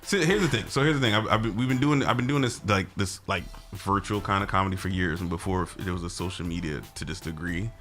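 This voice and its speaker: A man's voice: low-pitched, quick pace, few pauses, soft background music. A man, a comedian chatting in a casual interview and acting out what people said. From Brooklyn, New York. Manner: animated storyteller who acts out quotes. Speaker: comedian guest.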